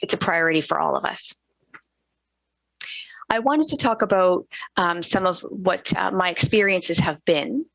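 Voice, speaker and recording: A woman with a fairly low voice gives first-person spoken interpretation of a signing panelist over a video call. Her delivery is measured and halting, with frequent fillers. The sound is close and dry. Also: expressive, creaky, fairly bright tone, no room sound, low-quality recording. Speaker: sign language interpreter voicing a panelist.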